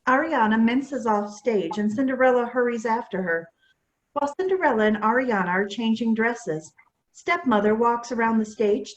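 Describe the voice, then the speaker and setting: A woman's voice: fairly high pitch, lo-fi sound. A woman narrating, reading out the stage directions of a children's play.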